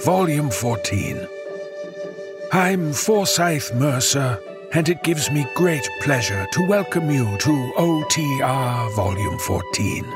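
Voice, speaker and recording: Slow, pompous radio presenter. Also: male voice, mid-range voice, lively intonation, music in the background. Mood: measured, solemn. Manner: measured, solemn, pompous. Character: Forsyth Mercer, genteel male radio documentary presenter. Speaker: radio programme host. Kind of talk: formal scripted radio presentation.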